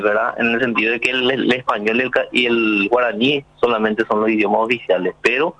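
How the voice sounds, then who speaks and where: A man's voice: lowish voice, fairly bright tone, poor audio. A man from Paraguay, a sign language interpreter interviewed on the radio. He explains things earnestly, with many fillers and restarts.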